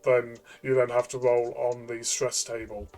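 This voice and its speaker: A man who plays and reviews tabletop games, explaining things casually in a tentative, halting way and repeating words. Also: mid-range voice, flat delivery, darkish tone, soft background music. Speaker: tabletop game reviewer and player.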